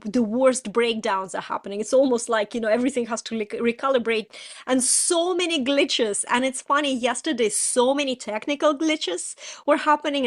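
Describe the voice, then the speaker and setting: A woman with a Russian accent, Russian her first language, co-hosting a casual talk and breaking off often to laugh and restart her sentences. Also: a little high. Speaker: podcast co-host, coach. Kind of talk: casual chat.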